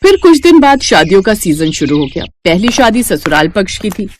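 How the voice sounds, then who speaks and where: A woman narrating a cartoon moral story in a steady, expressive storytelling manner. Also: mid-range voice, moderate pace, slight background noise. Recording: dry close recording with faint music.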